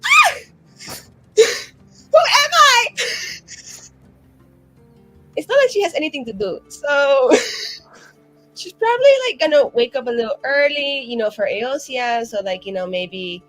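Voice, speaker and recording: Woman, tabletop player, rambling, laughing, very lively pitch, casual. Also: a little slow, pauses often, faint music behind, low-quality recording. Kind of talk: playing a tabletop character, casual chat.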